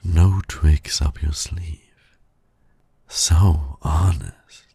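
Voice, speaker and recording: Man acting a very deep, gravelly seductive villain. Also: dark tone, dry recording, full character voice. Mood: teasing, intimate. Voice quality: gravelly, breathy. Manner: flirtatious, slow, purring, often dropping to a whisper. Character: suave, teasing male supervillain courting his hero nemesis. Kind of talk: ASMR romance roleplay.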